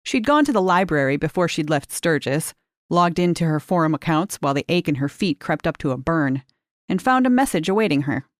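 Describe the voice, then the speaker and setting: A woman with a slightly low voice narrates a short story in a measured, expressive way. She lifts key words for emphasis. The recording is close and dry, with no room sound. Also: dry recording. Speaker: reader of short fiction.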